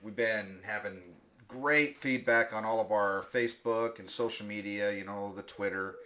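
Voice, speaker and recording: A man's voice: on the low side, fairly even intonation, normal speed, pushed and bright. A young man hosting a casual podcast, rambling with lots of fillers and frequent laughs. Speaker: podcast host.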